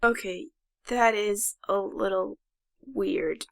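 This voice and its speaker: A woman playing an excitable, nerdy young male sidekick in an audio drama, casual and dramatic with a paranoid streak. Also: mid-range voice, takes it slowly, gravelly. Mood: curious, teasing. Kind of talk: fan audio drama acting.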